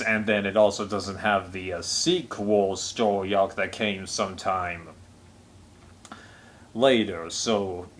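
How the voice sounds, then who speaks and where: A man with a somewhat low-pitched voice speaks as a reviewer giving his casual take. His delivery is unscripted and rambling, measured as he qualifies his opinions. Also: slight background noise.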